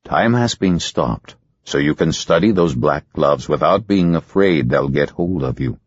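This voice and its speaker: A man voicing a male hypnotist, giving soothing, slow, insistent commands. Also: very deep voice, expressive, husky, no echo, muffled recording, exaggerated voice.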